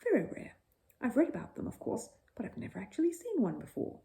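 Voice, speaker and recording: A woman's voice: on the high side, huge pitch swings, quick pace, vocal fry, warm tone, voice pushed up, full character voice. A woman voicing a polite, puzzled male shopkeeper in a children's storybook, with a hesitant, wondering manner. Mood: intrigued.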